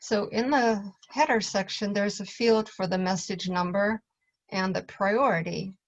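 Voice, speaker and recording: A woman's voice: mid-range voice, measured pace. A woman presenting class material as an instructor, explaining it step by step in an informal way. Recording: dull, lo-fi audio.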